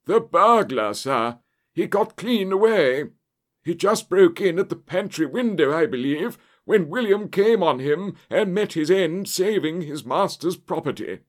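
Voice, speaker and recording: A man's voice: sits high, animated, unhurried, pitched above the performer's own voice. A man voicing a flustered, breathless, deferential butler with dreadful news in an audiobook story. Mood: agitated, shocked. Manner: gasping, agitated, deferential.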